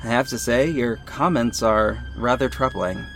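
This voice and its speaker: A man plays a quietly principled, guarded narrator-hero of an audio drama. His voice sits at an average pitch, wry and watchful as he tells his story. Faint scary music runs underneath. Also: moderate pace, creaky. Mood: tense, polite. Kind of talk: first-person audio drama narration and dialogue.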